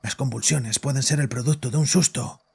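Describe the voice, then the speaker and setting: Gravelly, haunted father. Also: mid-range voice, darkish tone. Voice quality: gravelly, husky. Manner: halting, anguished, rambling. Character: distraught, haunted, domineering father confessing to a doctor. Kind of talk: audio drama acting.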